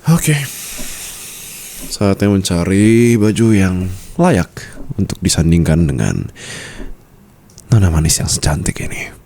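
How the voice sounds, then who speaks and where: A man's voice: low-pitched, very animated, slow pace, pauses often, warm tone, slight background noise, full character voice. A man playing a suave, protective mafia boss boyfriend in an intimate, teasing ASMR roleplay. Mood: tender, reassuring.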